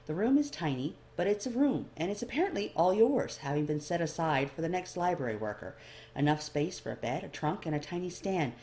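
A woman reading fan fiction aloud as expressive scripted story narration. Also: on the low side, slight background noise. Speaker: amateur fan fiction reader.

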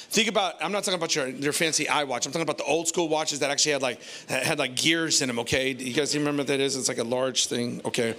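American guy preaching, forties, bright punchy voice, chatty and folksy, repeats stuff for emphasis, handheld mic at church. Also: a little high. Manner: conversational, animated, colloquial, repeats phrases for emphasis. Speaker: pastor. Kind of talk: informal sermon with anecdotes. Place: church stage, indoors. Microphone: handheld microphone.

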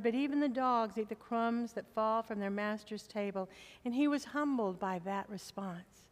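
A middle-aged woman pastor preaching a sermon from the pulpit of a church, with slow, emphatic appeals to the congregation. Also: fairly high pitch, big-room sound.

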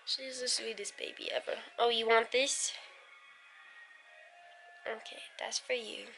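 A woman's voice: on the high side, fairly even intonation, measured pace, gravelly, sharp tone. A young American woman, around twenty, chatting in an informal, rambling way as in a casual home vlog. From United States. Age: late teens to about twenty. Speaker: vlogger, young mother. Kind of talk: casual family vlog chat. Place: indoors at home. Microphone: handheld camera at arm's length. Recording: noticeable background noise, handheld home recording.

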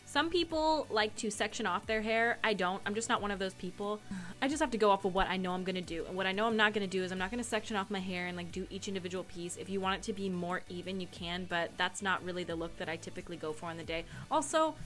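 Young woman, beauty vlogger, chatty laid-back voice-over, medium pitch, music behind. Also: fast, fairly bright tone. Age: young adult. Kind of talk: casual tutorial voice-over. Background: background music.

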